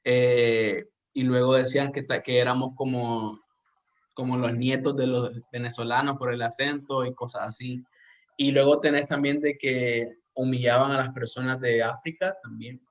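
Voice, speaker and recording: A man's voice: medium pitch, little pitch movement, normal speed. A man with a Central American Spanish accent, hosting a podcast and talking earnestly and reflectively, often restarting his sentences. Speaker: podcast host. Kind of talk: casual podcast conversation.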